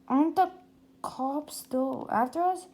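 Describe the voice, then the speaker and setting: A storybook reader voicing a puzzled, excitable schoolboy with an emphatic, questioning way of talking. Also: high-pitched, slow, voice pushed up. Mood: worried.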